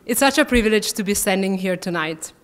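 Italian woman in her 20s giving a dinner speech at a podium, wry and warm, hall reverb behind her. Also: mid-range voice, animated, fairly quick. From Italy. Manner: warm and self-deprecating with light humor. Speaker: student athlete. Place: large banquet hall, at a podium.

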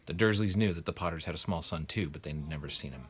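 A man's voice: extremely low pitch, quick pace. A man in his thirties reading a children's story aloud as a narrator. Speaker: guest reader of a children's novel. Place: small bedroom, indoors, sitting in bed.

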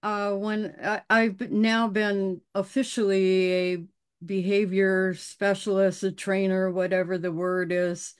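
A woman who is a dog behavior specialist, speaking in a panel discussion over a video call, slow and thoughtful with hesitant restarts. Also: mid-range voice, little pitch movement, clean tone.

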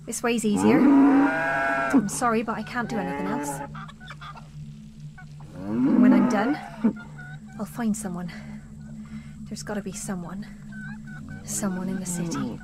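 An actress playing a distraught lone survivor, halting and grief-stricken, in an audio drama. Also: female voice, a little high, gravelly, exaggerated voice. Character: distraught, lone woman survivor caring for dying farm animals. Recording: loud farmyard noise and animal sounds. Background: farm animals, chickens, outdoor ambience.

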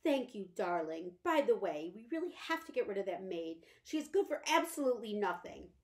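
A fragile, imperious invalid mother from a storybook, put on by a woman reading aloud. Her drawn-out, languid lines climb high and loud and then swing low again. She flares up shrilly and without warning. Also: sing-song, lightly acted. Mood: dismissive. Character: fragile, erratic, imperious wealthy mother, bedridden.

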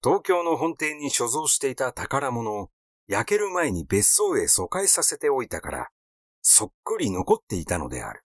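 A man narrates a literary story as an audiobook reader. His pitch moves in a lively way across the phrases. The sound is dry, with very little room around it. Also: moderate pace, no room sound. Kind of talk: literary narration reading aloud.

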